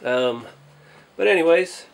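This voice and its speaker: A man's voice: on the high side, expressive, takes it slowly, creaky. A man hosting a casual hobby build vlog, speaking in a modest, hesitant way as he thinks aloud. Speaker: hobby channel host.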